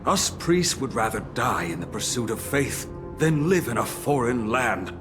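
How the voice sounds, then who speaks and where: Guy acting a devout priest, slow n solemn, really swoops around, game dialogue. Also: mid-range voice, animated, natural voice. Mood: solemn, resolute. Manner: solemn, formal, dramatic. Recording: loud game music underneath.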